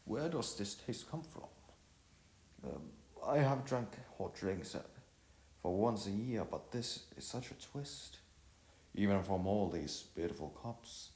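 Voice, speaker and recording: A man's voice: on the low side, expressive, slow pace, darkish tone. A man voicing a reserved, earnest male traveler who speaks in a hesitant, formal way in a storybook. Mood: grateful. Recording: roomy echo with music behind.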